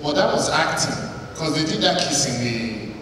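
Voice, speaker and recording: A man's voice: on the high side, expressive, normal speed, distant mic. A man co-hosting an awards show with playful comic banter on a handheld microphone. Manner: joking, playful. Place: large indoor awards stage. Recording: echoey hall PA, loud crowd.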